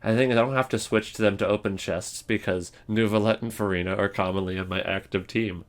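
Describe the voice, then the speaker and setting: A man's voice: on the low side, steady tone, brisk. A man giving casual, off-the-cuff ranking commentary, rambling and jokey in a self-deprecating way. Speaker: video creator ranking game voice lines.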